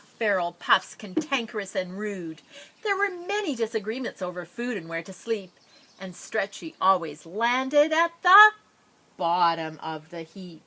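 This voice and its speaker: A woman reading a children's picture book aloud expressively, with drawn-out dramatic phrasing and playful asides. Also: medium pitch, bright, forceful tone, slight background noise. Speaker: storybook reader.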